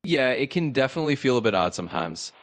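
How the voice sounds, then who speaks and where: A man's voice: medium pitch, big pitch swings, fast. A man's voice as an AI chatbot assistant, explaining in an easygoing, reassuring way. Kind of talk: casual explanation.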